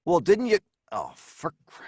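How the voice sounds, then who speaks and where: A man's voice: high voice, huge pitch swings, unhurried, mellow, slightly rough, dry recording, heavily acted. An actor playing a brash, mocking crook who narrates his own tale in slangy old-time radio drama style. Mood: impatient, teasing. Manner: slangy, hard-boiled tough talk.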